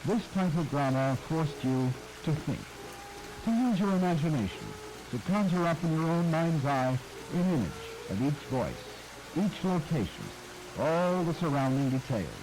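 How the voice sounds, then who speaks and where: A man's voice: on the high side, big pitch swings, moderate pace. A man hosting with scripted narration addressed to the listener, dramatic and emphatic in phrasing, recorded with rain noise over it. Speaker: host of radio nostalgia documentary. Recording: noisy archive audio under rain.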